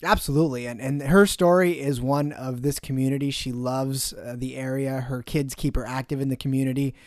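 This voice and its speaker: A man's voice: medium pitch, a little fast, no room sound, clean studio sound. A middle-aged man co-hosting a local podcast and interviewing guests, talking casually with plenty of fillers. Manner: relaxed, friendly, filler-heavy. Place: small podcast studio.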